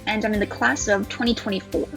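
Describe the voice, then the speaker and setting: An eighteen-year-old woman from New Mexico, a college student answering interview questions quickly and emphatically. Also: mid-range voice, steady tone, gravelly, fairly bright tone. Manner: quick, emphatic, says "super" often. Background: background music.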